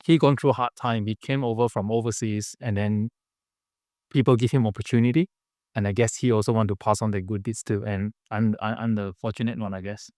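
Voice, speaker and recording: A man from Malaysia telling his story as a podcast guest, earnest and halting, with many fillers and restarts. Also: lowish voice, steady tone, dark tone, no echo, clean studio sound. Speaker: podcast guest, business founder. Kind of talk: casual interview storytelling.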